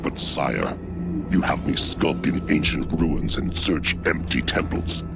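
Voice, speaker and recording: An actor playing a proud, impatient alien warrior in a video game, grave and formal, with a gravelly voice. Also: male voice, a bass voice, animated, takes it slowly, very noisy, exaggerated voice. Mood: restrained resentment. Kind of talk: dramatic video game cutscene acting. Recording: loud music under the voice.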